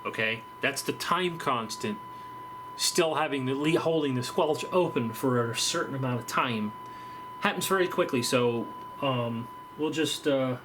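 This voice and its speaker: A man giving an informal, unscripted technical explanation as a demonstrator, halting as he thinks aloud. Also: mid-range voice, noisy background. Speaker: presenter of an electronics demonstration.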